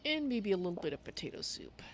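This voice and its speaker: A woman voicing a gentle, fussy female cat-folk traveler in a game roleplay, musing aloud about herself in the third person. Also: mid-range voice, quick pace. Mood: cheerful. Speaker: livestream roleplayer. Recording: steady background noise.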